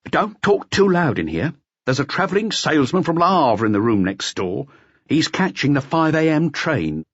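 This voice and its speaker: Guy doing a brisk french innkeeper for an audiobook, high voice, bossy, very up and down. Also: very animated, husky, no echo, highs cut off. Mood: brisk, helpful. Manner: calling out, giving orders and advice. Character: brisk loud French hotelkeeper, accommodating, bossy. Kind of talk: audiobook character voice.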